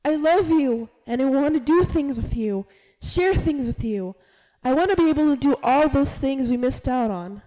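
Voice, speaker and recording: Aging woman, weary and conflicted, soft high falsetto, man reading. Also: expressive, moderate pace, poor audio. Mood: hopeful.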